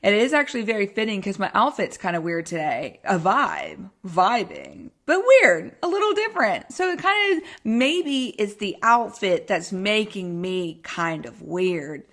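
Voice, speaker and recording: A woman's voice: mid-range voice, very animated, a little slow, hardly any pauses, fairly bright tone. A woman podcaster with a Texan accent talks in a bubbly, informal flow. She rambles casually and pokes fun at herself, like a health coach chatting to her regular listeners. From Texas, United States. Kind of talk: casual solo podcast chat.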